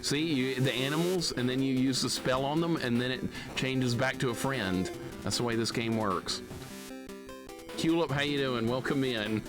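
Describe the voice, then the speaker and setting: Male live streamer, mid-pitched, griping sarcastically through casual gameplay chat over prominent game music. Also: normal speed, poor audio. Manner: grumbling, sarcastic complaining. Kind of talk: casual gaming commentary.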